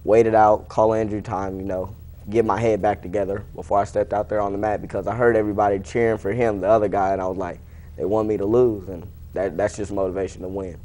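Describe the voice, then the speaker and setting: A man's voice: fairly low pitch, level tone, fast, warm tone. A young man giving casual interview answers as an athlete, plain-spoken and informal. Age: young adult. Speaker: wrestler being interviewed.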